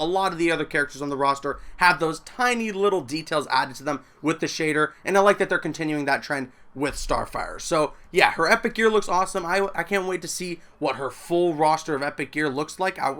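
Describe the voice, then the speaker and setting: Male YouTuber, chatty game news commentary, eager delivery, slightly high voice. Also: fairly bright tone. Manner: enthusiastic, chatty. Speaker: gaming YouTuber.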